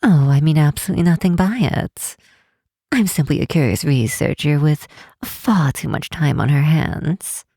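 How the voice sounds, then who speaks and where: A teasing half-elf tower mistress, acted by a woman in a fantasy ASMR roleplay. Her voice is dark and husky with a creaky edge, soft and close. She chooses formal, old-fashioned words and lets her curiosity show in an unhurried, playful manner. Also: low voice, expressive, no room sound. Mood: gently amused.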